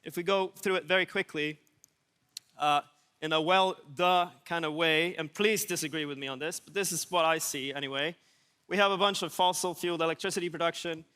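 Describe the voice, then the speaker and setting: High, bright-voiced man presenting in hall. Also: clean tone. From Sweden. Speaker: conference speaker. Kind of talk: conference presentation, informal. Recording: echoey hall with long reverb.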